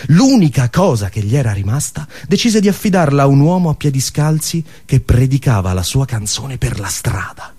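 A man narrating a scripted story theatrically, dramatic and emphatic, with staged pauses. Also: mid-range voice, very animated, mellow, husky.

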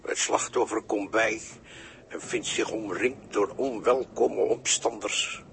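A gravelly, mock-pompous idle deckhand. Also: male voice, fairly low pitch, steady tone, slow pace, a bit bright, exaggerated voice. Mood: mocking, smug. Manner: mock-formal, drawling. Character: mocking, pompous idle deckhand, wryly unhelpful. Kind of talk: 1960s Dutch radio play acting. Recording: faintly noisy 1960s radio recording.

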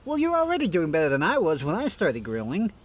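A man's voice: high-pitched, huge pitch swings, fast, heavily acted. A man playing a fussy, self-serious and encouraging grilling mentor in a comic radio skit. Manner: exacting, encouraging.

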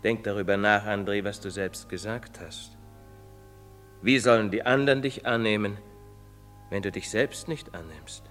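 An actor playing a priest who urges a young man fervently, then confesses in hushed whispers. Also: on the low side, normal speed, faint music behind, natural voice. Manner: preaching, insistent, dropping to guilty whispers. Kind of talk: radio play acting. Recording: long reverberant studio echo.

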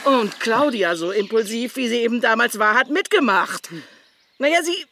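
An actress playing a blunt, bitter woman who has kept secrets for years, speaking deliberately and sardonically in a German crime radio play. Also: on the high side, animated, pushed and bright, a little hiss or hum. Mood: bitter, confessing.

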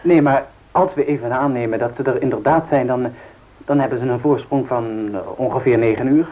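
Man acting male race follower, calm, explanatory, slightly hesitant, medium pitch, muffled old recording. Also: brisk, natural voice. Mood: calm, reasoning. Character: male radio listener calmly reckoning race odds. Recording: dull old radio recording.

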